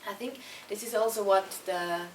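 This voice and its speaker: A young woman speaking English with a Swiss German accent, relaxed and chatty, full of fillers and quick restarts. She sounds a bit distant from the mic, in an echoing room with a faint hum of ambience around her. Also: mid-range voice. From Switzerland. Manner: chatty, many fillers and restarts, laughs easily. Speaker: band singer, interview guest. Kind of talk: casual interview answers. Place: concert venue before a show.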